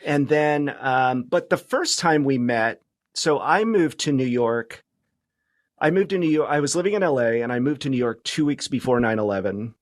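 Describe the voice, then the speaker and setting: A middle-aged American man chatting casually as a podcast guest, reminiscing in a halting way. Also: medium pitch, no room sound, clean studio sound.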